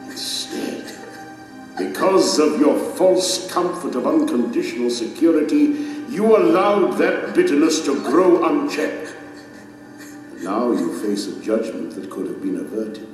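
Majestic divine king, stern and sorrowful, slow sweeping declamation, audio drama, huge reverb. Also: medium pitch, very animated, a little mellow. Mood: stern reproach. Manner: solemn, declamatory, deliberate. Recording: cavernous long reverb under dramatic music.

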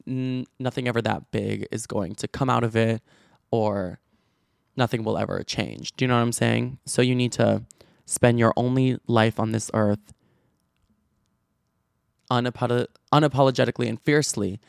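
A man with a stutter chats and gossips as a podcast host, his voice low and gravelly. He fills his talk with likes and restarts, at a middle pitch. The sound is dry and close. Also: no room sound. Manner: chatty, gossipy storytelling with many likes and restarts. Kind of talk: casual chat.

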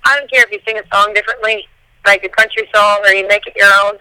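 A woman with a hoarse voice chats loosely as a podcast guest. She mumbles a bit and restarts her thoughts often. The recording is dry and close, with no room around her. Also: medium pitch, steady tone, quick pace, sharp tone, no echo, rough recording. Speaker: singer and guest. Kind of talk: casual interview chat.

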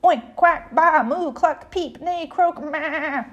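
A woman's voice: high voice, slow, a bit bright, dull audio, pitched above the performer's own voice. A woman voicing a cute, gleeful farm animal with sing-song delivery in a storybook. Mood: excited.

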